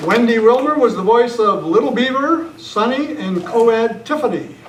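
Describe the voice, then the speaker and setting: A man's voice: very high-pitched, animated, moderate pace. A man reading scripted credits as an old-time radio announcer at a club meeting. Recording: echoey distant room, loud noise and crowd. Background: audience noise.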